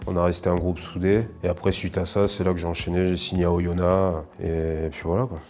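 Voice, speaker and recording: A man's voice: deep voice, level tone, fast, a little mellow, a little hiss or hum. A man in his thirties from northern France, a professional rugby player answering interview questions casually, with many fillers and restarts.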